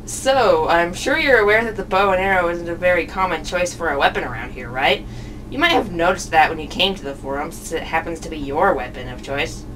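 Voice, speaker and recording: A woman's voice: lowish voice, a little fast, natural voice. A woman playing a hapless, bewildered young male defense attorney in a puppet show, hesitant and easily flustered. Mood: hesitant, probing. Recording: distant microphone, noisy room.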